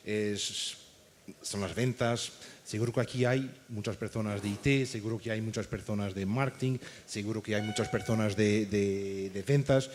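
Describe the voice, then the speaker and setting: A man's voice: medium pitch, a little mellow, a little hiss or hum. A man from Portugal speaking with a Portuguese accent as a conference panelist, emphatic and checking in with his listeners again and again. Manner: emphatic, keeps adding "¿vale?". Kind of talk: panel discussion. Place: conference stage.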